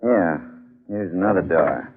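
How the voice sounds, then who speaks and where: An actor playing a courteous, cautious museum professor in a 1940s radio drama, genial and explanatory. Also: male voice, sits low, animated, measured pace, breathy edge, heavily acted. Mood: genial, hospitable. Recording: thin, lo-fi old radio broadcast.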